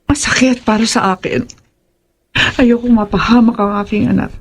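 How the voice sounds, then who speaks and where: A woman's voice: fairly high pitch, steady tone, moderate pace, lightly acted. An actress playing a troubled, fearful mother in a horror audio drama, earnest and emotional. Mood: anguished, resigned. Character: anguished, fearful mother of a fugitive son.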